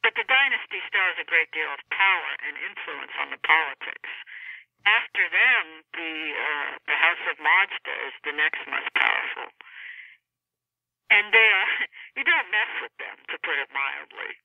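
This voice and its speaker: Woman, interviewed author, conversational and explanatory, halting mid-sentence, thin telephone sound, dry. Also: deep voice, animated, creaky, no echo. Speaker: author being interviewed about a novel. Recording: tinny narrowband phone line.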